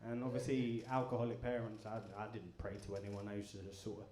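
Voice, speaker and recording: A man doing a stand-up comedy set, deadpan and crude, bantering with the crowd. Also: fairly low pitch, quick pace. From England. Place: comedy club in front of a live audience. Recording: echoey club room. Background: audience laughter.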